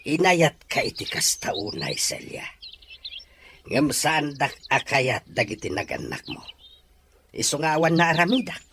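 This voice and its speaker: A man's voice: on the high side, expressive, unhurried, background noise. A man with a Filipino accent playing a sorrowful, earnest middle-aged father in an audio drama. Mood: pleading. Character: regretful, pleading middle-aged father, once too poor. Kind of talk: Ilocano audio drama acting.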